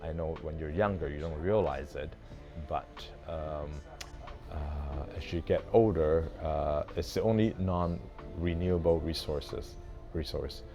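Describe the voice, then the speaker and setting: A man of sixty speaks in a very low voice at a slow, reflective pace. He pauses and searches for words in an interview, with music clearly playing behind him. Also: muffled recording. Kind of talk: reflective, hesitant interview talk.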